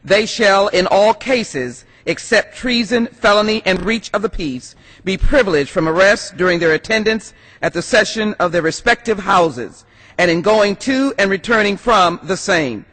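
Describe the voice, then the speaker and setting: A man's voice: sits high, expressive, moderate pace, fairly bright tone. An American congressman giving a formal reading of a legal text in a large chamber. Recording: reverberant legislative chamber.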